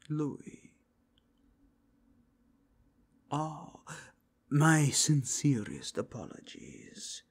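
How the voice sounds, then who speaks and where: Guy doing a zealous werewolf inquisitor for asmr, husky n breathy, super polite, odd spanish-ish foreign accent, pitch swoops around. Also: heavily acted. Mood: courteous, curious. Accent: mixed foreign with Spanish touches. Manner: formal, courteous, deliberate, intense. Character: zealous, courtly werewolf inquisitor who sniffs out evil. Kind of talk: ASMR roleplay, breathy and half-whispered.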